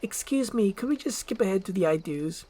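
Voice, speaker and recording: A woman voicing a spirited, proud, wounded princess with a curt, sharp-tongued manner in a fairy tale screenplay. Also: medium pitch, animated, a little fast, voice pushed up, close to an everyday voice. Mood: annoyed.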